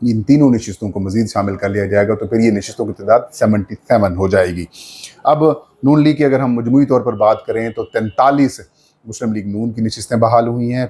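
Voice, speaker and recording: A man with a Pakistani accent gives unscripted political news commentary, explaining details point by point with frequent pauses. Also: fairly low pitch, darkish tone.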